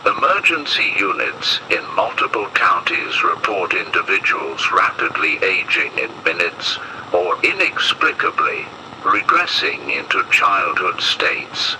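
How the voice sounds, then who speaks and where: A man playing a grave emergency broadcast announcer in an analog horror piece, speaking in a solemn, ominous, deliberate way. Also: low voice, noisy background.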